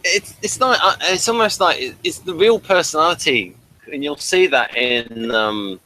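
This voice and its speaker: Higher-pitched man with a bright voice. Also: normal speed, low-quality recording. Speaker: co-host of a TV episode review show. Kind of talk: casual review chat.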